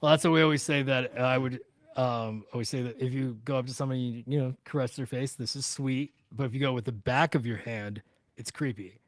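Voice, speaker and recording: A man's voice: mid-range voice, steady tone, fairly quick, no echo. A man chatting casually as a podcast co-host.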